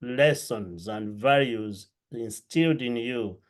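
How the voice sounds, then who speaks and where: A man from Uganda hosting an interview podcast from a room at home, greeting his audience in an effusive, drawn-out way. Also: medium pitch. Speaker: podcast host. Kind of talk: welcoming interview host. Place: home room with books and a clay pot.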